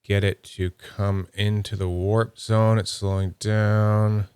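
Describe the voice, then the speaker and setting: A man with a slightly low voice talks casually through an unboxing, thinking aloud as he handles each item. He sounds nerdy and upbeat. The sound is close and dry, with no room echo. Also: little pitch movement, slow pace, a little mellow, clear, resonant tone. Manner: chatty, thinks aloud while handling items. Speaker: YouTube fidget toy reviewer.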